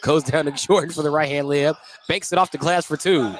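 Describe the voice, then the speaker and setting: A man's voice: fairly high pitch, steady tone, fairly quick. A man doing live play-by-play commentary of a basketball game. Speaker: play-by-play announcer. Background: basketball gym ambience, bouncing balls.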